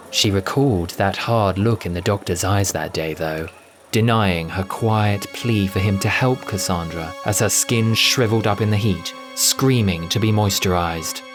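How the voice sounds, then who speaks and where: A man giving scripted, dramatic narration of a science fiction audio adventure. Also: low voice.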